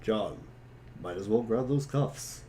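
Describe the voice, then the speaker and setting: A man's voice: lowish voice, slow pace, dark tone, slight background noise. A man co-reading an amateur webcomic dramatic reading, giving commands and character lines. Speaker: co-reader.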